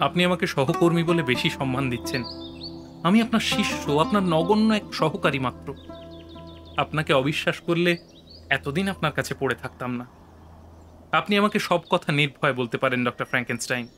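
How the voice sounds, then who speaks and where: A man's voice: fairly high pitch, lively intonation, brisk, a bit bright. A man acting a humble, loyal assistant scientist in a Bengali audio drama, deferential and earnest. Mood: humble, reassuring. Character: devoted male assistant scientist, humble, loyal, eager, awed. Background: background music.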